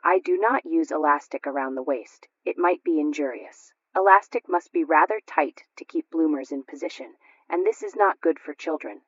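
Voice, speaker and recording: A woman's voice: low-pitched, normal speed, husky, no room sound. Lady doing a bossy sure-of-herself mom on old radio, emphatic, lo-fi muffled sound. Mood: triumphant, firm. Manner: emphatic, self-assured. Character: forceful, opinionated mother at sewing club. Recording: dull lo-fi radio recording.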